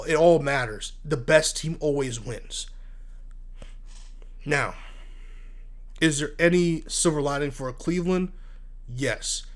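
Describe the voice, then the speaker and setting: Stuffy-voiced male sports talker, slightly high, blunt, animated. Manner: blunt, animated, repeats words when worked up. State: congested from asthma and allergies. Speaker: sports commentary host. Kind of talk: casual solo sports talk.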